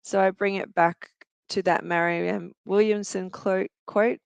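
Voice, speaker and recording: Australian woman in her 40s, reflective guest storyteller, smooth low-ish voice, dry close sound. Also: steady tone, unhurried, no room sound. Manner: earnest and reflective, with some fillers. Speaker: guest, youth worker and researcher. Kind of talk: personal storytelling in a talk show.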